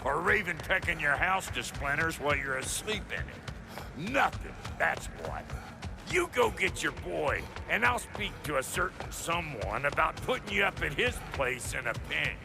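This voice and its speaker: Grumbling dwarf smith for a fantasy game: high-pitched, very animated, blunt and folksy, gravelly, music underneath. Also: male voice, moderate pace. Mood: sardonic, insistent. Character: brash, foul-mouthed, grumbling male dwarf blacksmith.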